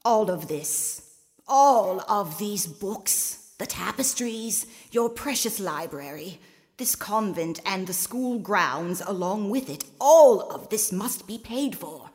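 Period drama: wise, wry nun, unhurried admonishing delivery with wide pitch movement, cloister-like reverb. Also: female voice, animated. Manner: measured, gently admonishing. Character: patient, pragmatic, wry female convent nun. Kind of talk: full-cast audio drama. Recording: long hall reverb.

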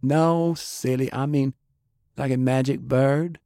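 Man putting on a higher-pitched plucky young girl. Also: fairly even intonation, slow, creaky, mellow, dry recording, studio quality. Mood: curious.

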